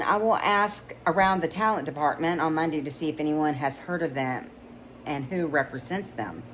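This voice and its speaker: A woman's voice: low-pitched, a little hiss or hum, rough recording. A woman reading a romance novel aloud in a conversational way, with small stumbles and restarts. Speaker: audiobook reader.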